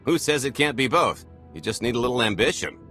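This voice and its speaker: An actor playing a cocky, wisecracking space smuggler in a video game cutscene, breezy and sardonic. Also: male voice, medium pitch, big pitch swings, brisk, bright, forceful tone, faint music behind. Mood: sardonic, persuasive. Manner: breezy, sardonic, charming swagger.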